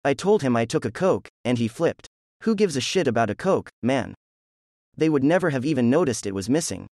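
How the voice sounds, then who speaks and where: A man's voice: mid-range voice, expressive, moderate pace, darkish tone, dry recording, clean studio sound, voice pushed up, close to an everyday voice. A man voicing a scared, wisecracking teenage boy friend. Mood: anxious.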